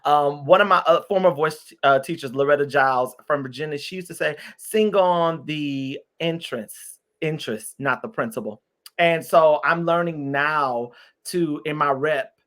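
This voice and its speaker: A man in his thirties from Detroit, a guest singer chatting in an interview, expressive and animated. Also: on the high side, unhurried. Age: 30s. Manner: lively, expressive, swings from loud exclamations to soft asides. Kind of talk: casual interview chat.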